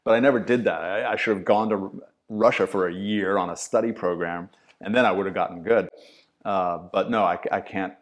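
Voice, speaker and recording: A middle-aged man with a low-pitched voice talks easily as a podcast guest. He is an acting teacher who tells stories and acts out other people's lines with a lot of animation, salty words included. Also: fairly quick. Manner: animated storyteller, acts out quotes with swearing. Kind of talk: casual conversational interview.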